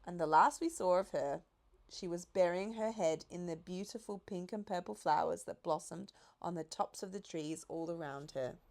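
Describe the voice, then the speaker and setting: A woman's voice: lowish voice, normal speed, darkish tone. A woman narrating a scripted children's audiobook story. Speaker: audiobook reader.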